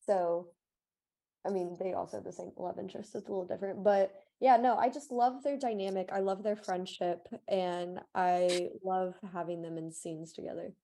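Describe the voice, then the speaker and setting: A woman's voice: fairly low pitch, fairly even intonation, normal speed, vocal fry, warm tone. A young woman co-hosting a casual book discussion podcast, chatty and gushing, peppering her talk with "like". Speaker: podcast co-host.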